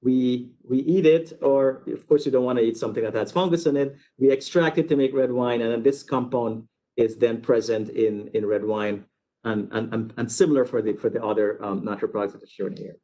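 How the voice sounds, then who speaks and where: A man's voice: mid-range voice, fairly even intonation, brisk, dark tone, muffled recording. A man from Guyana giving an informal academic webinar lecture, explaining step by step with repeated words and asides. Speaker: university researcher giving a webinar.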